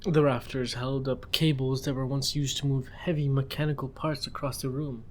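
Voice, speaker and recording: A man's voice: mid-range voice, flat delivery, normal speed, a little hiss or hum. A man narrating a story in a conversational, informal way with wry asides.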